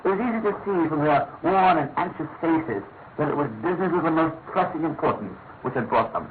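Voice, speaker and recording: An actor playing a genial, discreet British gentleman narrator in a classic radio detective drama, speaking in a measured, literary way. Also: fairly high pitch, lively intonation, close to an everyday voice. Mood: measured, confiding. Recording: thin narrow-band old radio recording, faint noise.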